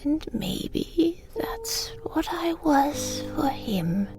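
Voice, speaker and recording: A woman's voice: sits high, lively intonation, over music, heavily acted. Woman playing a whispering, wistful elderly British mother. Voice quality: breathy, whispery. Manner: slow, hushed reminiscing. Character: frail elderly British mother recalling her wartime childhood, wistful. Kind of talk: audio drama monologue.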